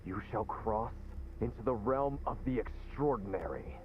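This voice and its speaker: An actor playing a grandiose, theatrical mastermind in a video game, declaiming pompously. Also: male voice, on the low side, lively intonation, normal speed, lo-fi sound, slight background noise, lightly acted. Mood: exultant, pompous. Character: grandiose, theatrical male mastermind proclaiming transcendence. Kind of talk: English video game voice acting.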